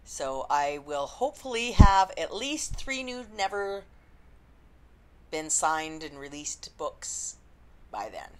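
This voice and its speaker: A woman talks straight to camera in a loose, unscripted vlog, rambling with plenty of ums. Her voice sits a little low and carries a slight husky edge. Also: big pitch swings, takes it slowly, darkish tone, low-quality recording. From Canada. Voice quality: slightly husky after illness. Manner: chatty, rambling, frequent ums. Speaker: indie romance author.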